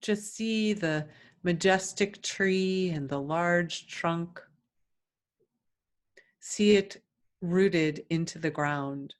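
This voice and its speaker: Slow, low-pitched woman guiding meditation. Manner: gentle, soothing, instructive, with long pauses between phrases. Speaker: guided meditation teacher. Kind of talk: guided meditation.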